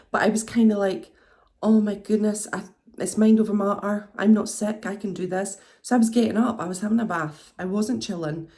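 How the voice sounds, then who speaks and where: A woman's voice: mid-range voice, darkish tone. A woman in her thirties with a blocked nose, talking in a chatty, rambling video diary. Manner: chatty, rambling, self-reassuring. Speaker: vlogger.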